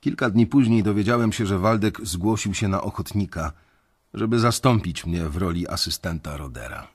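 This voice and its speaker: A man's voice: sits low, normal speed, husky. An actor playing a resentful young investment banker who tells his story bitterly in a radio drama. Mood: bitter recollection.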